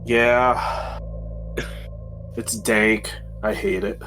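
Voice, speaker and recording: A man's voice: medium pitch, little pitch movement, extremely slow, a bit bright, faint music behind. A man in casual conversation as a player in a tabletop game.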